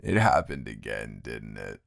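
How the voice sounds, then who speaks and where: A man with a very deep voice rambles as a grumpy but affectionate roommate in an improvised roleplay. He is playfully dramatic and exasperated, with plenty of fry in the voice. The sound is close and very dry. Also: very animated, a little slow, a bit bright, no room sound. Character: grumpy but fond male roommate woken at night. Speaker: audio roleplay performer.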